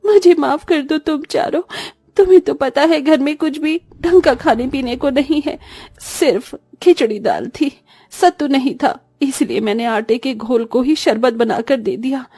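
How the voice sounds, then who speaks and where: Woman as a soft, weary eldest sister. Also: high-pitched, unhurried, dry recording. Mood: sad, reassuring. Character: burdened, caring orphaned eldest sister, young woman. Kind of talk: Hindi cartoon story voice acting.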